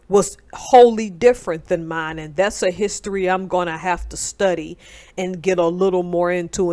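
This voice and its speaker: A woman's voice: fairly low pitch, a little mellow. A woman, giving a casual, emphatic commentary monologue. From North Alabama, United States. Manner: emphatic, conversational, digressive. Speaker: commentator, military veteran.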